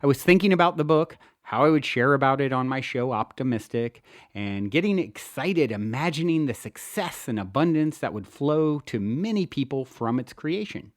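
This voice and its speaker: A man reads his own self-help book aloud as a narrator, with an earnest, expressive delivery. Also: medium pitch, no echo, clean studio sound. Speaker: author and show host reading own book. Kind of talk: audiobook narration, first-person self-help.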